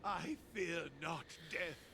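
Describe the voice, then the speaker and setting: A man's voice: on the high side, takes it slowly, creaky. A man playing a melodramatic, dutiful old male artisan in a fantasy game, florid and prone to stammering. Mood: melodramatic resolve. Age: older. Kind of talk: theatrical video game voice acting. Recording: loud game music behind.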